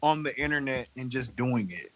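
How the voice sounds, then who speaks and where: A man in his late twenties, a podcast guest, telling stories in a rambling, animated way, full of "like". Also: mid-range voice, moderate pace, slight background noise. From North Carolina. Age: 20s. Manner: rambling, heavy use of "like", animated storytelling. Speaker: podcast guest, music manager. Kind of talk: casual chat.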